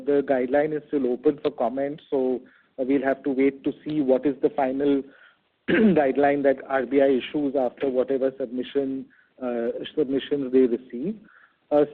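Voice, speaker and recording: Indian guy exec on an earnings call, careful halting answers, thin phone audio. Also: a little high. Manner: hesitant, measured, hedging, halting phrasing. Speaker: bank executive answering analysts. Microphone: telephone conference call. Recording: narrow tinny phone conference line.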